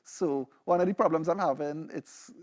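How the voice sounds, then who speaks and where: A man's voice: on the high side, normal speed, darkish tone. Trinidadian man presenting a seminar. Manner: conversational, frequent "right?" and "you know" tags. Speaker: PhD candidate presenting. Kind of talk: seminar presentation with live demo.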